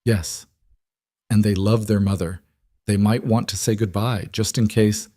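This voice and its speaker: A man voicing a stern, shrewd older mother of a crime family in a measured, grave way for an audio story. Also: lowish voice, steady tone, warm tone, no echo. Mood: gentle, firm.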